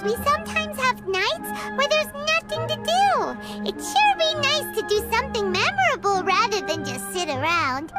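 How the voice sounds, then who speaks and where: Tiny excitable fairy companion, squeaky and extremely high, cheeky, swooping intonation, loud game music underneath. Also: female voice, full character voice. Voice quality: squeaky, childlike. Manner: refers to self in third person, exclamatory, cheeky. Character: small chirpy childlike fairy companion, excitable and indignant. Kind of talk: anime fantasy game voice acting.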